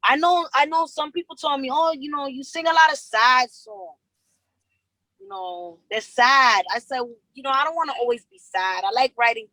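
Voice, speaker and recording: A woman's voice: sits high, moderate pace, no echo, low-quality recording. A young woman from New York, a rapper, chatting in an interview and telling stories earnestly with lots of restarts. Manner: earnest, animated storytelling with repeated restarts. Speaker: rapper guest. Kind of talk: casual interview chat.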